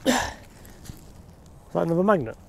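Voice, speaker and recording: A man's voice: on the high side, sing-song, normal speed, gravelly, warm tone, slight background noise. Chatty man magnet fishing by a river. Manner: chatty, laughs often, easygoing. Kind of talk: casual commentary while magnet fishing. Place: riverbank outdoors. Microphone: body-mounted action camera.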